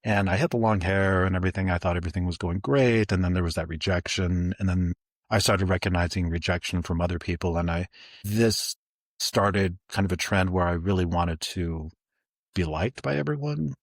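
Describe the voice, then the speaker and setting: A man in his forties, co-hosting a podcast, talking reflectively and haltingly with many fillers and restarts. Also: deep voice, no echo, clean studio sound. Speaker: podcast co-host.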